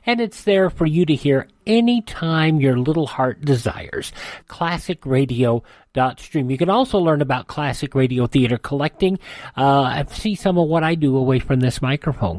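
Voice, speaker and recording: A man's voice: fairly high pitch, darkish tone, no echo. An American man hosting a radio show in a conversational style.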